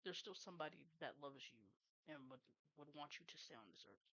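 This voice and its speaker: Low-voiced young woman, earnest streamer. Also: expressive, brisk, sharp tone, no room sound, low-quality recording. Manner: earnest, rambling, heartfelt, with casual swearing. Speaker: streamer and podcast host. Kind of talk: casual livestream monologue.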